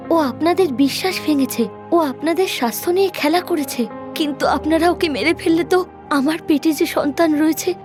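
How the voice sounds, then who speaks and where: A woman's voice: sits high, natural voice. A woman voicing a worried young wife in a cartoon story, earnest, pleading and reproachful.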